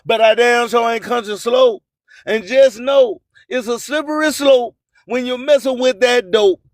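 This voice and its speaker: A man with a high voice delivers a slow, rhyming spoken-word radio monologue. He proclaims boastfully and emphatically, stretching out his phrases like a preacher, with a dry, close sound. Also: no echo. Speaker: radio host and music promoter.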